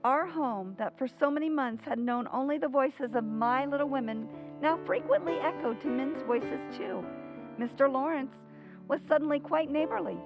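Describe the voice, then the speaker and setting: An actress playing a gentle, reflective mother who narrates in an old-time radio drama. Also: high-pitched.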